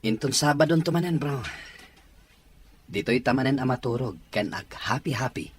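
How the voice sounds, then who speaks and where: A man's voice: mid-range voice, lively intonation, moderate pace, a little hiss or hum, close to an everyday voice. A man voicing an evasive married businessman who dodges questions, in an audio drama. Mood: friendly.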